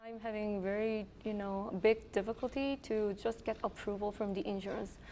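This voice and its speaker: Brief soft-spoken Korean woman physician, hesitant. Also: medium pitch, steady tone, moderate pace, slight background noise. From South Korea. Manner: thoughtful, with frequent ums and you-knows. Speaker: internal medicine resident. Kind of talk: interview answers.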